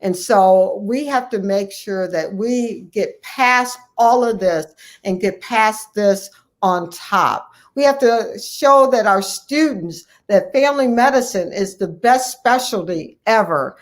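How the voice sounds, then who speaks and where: Woman, American accent, mid-pitched voice, earnest interview guest, emphatic, repeats key phrases. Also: takes it slowly. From United States, Ohio. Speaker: guest, family physician and medical association president-elect. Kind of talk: earnest conversational interview answers.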